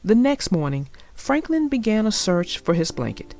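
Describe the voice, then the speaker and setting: A woman narrating a children's storybook aloud with deliberate, expressive phrasing for young listeners. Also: mid-range voice.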